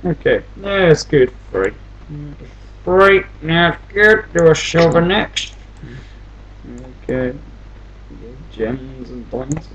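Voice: mid-range voice, lively intonation, takes it slowly, long pauses, a bit bright, a little hiss or hum, low-quality recording. A gamer giving casual commentary on their own play, muttering to themselves and often repeating phrases. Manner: mumbling to self, repeating phrases.

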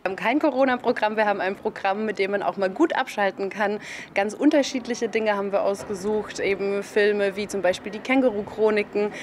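A woman in her thirties giving a casual interview as a cinema organiser. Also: mid-range voice. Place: outdoor courtyard. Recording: clear outdoor street noise.

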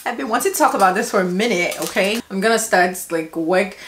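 A woman's voice: mid-range voice, fast talker, a bit bright, roomy sound, a little hiss or hum. A woman in her twenties with a Ghanaian accent, chatting emphatically and laughing often while doing her makeup on camera. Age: 20s. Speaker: beauty vlogger. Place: small indoor room.

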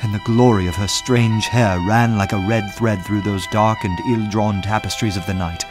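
An actor playing a witty, self-assured young poet in a radio play, courteous, dry and eloquent. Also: male voice, on the low side, fairly even intonation, normal speed, over music, natural voice. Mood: reflective narration. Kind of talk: full-cast radio play acting with first-person narration.

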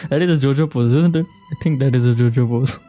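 Male gamer, casual reactive commentary, mid-pitched and mellow, thinking out loud over game music. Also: quick pace. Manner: musing aloud, short reactive remarks. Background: video game music.

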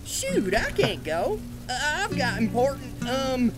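An actor playing a blunt hillbilly villager with a Southern American accent and folksy drawl in a video game. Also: male voice, very high voice, huge pitch swings, slow, full character voice. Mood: sheepish, insistent. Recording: loud game music under the dialogue.

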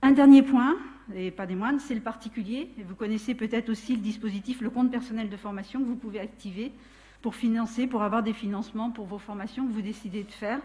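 Middle-aged woman, fairly high and mellow voice, conference speaker at a lectern, explanatory and full of self-corrections. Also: fast talker. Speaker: training consultant speaking at a trade fair. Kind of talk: conference presentation. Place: large darkened conference hall with audience.

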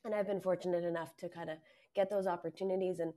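A woman's voice: on the low side, fairly even intonation, quick pace, dark tone. A woman panelist who is losing her voice, speaking earnestly on a video call. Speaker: head of analytics, panelist.

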